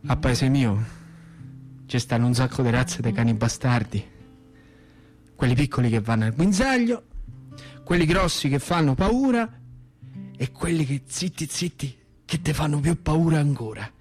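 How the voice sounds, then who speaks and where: A man's voice: mid-range voice, lively intonation, normal speed, pauses often, darkish tone, faint music behind. A man with a central Italian accent performing a dramatic storytelling monologue in a colloquial, rhythmic, theatrical way. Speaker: actor and storyteller.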